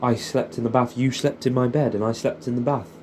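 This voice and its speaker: Mellow-voiced English man reminiscing casually. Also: mid-range voice, steady tone, brisk, a little hiss or hum. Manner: rambling, nostalgic, swears freely, tags questions onto statements. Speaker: audio creator. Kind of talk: casual intimate reminiscing addressed to an old friend.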